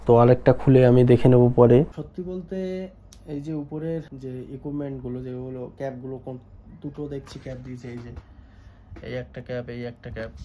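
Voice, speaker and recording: A man talks casually through an unboxing, pausing to think aloud as he goes. His voice is very soft-edged and dark, at a middling pitch with little rise and fall. Some ambient noise sits behind him. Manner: halting, thinking aloud as he handles items. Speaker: vlogger. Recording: noisy vlog recording with background ambience.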